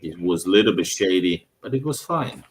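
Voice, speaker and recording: Deep-voiced Russian man rambling casually. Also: lively intonation, a little fast. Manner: rambling, with restarts and self-corrections. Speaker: travel vlogger. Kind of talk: casual talk over his own video footage.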